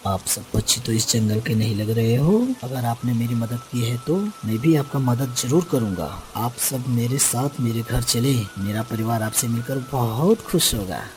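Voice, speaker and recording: Polite male cartoon bird, medium pitch man acting. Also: moderate pace, few pauses, a little mellow, slight background noise, lightly acted. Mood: grateful. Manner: polite, earnest. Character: grateful, polite male bird, family-minded.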